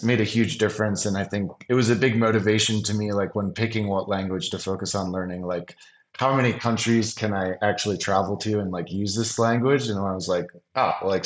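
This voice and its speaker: A man's voice: on the low side, roomy sound. A man in his thirties, a podcast guest, talking casually with many fillers. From United States. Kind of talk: casual interview chat.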